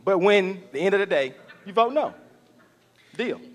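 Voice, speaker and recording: A man with a notably high voice makes his case at a workshop. He sounds animated and persuasive. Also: moderate pace. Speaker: workshop participant. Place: conference room.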